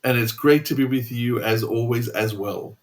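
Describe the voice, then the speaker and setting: A man's voice: medium pitch, moderate pace. An enthusiastic Australian man chatting casually as a podcast co-host, rambling along as he goes.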